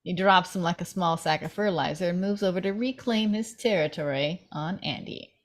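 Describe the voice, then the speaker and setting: A woman's voice: lowish voice, fairly quick. A woman reading stage directions from a screenplay as scripted narration at a table read.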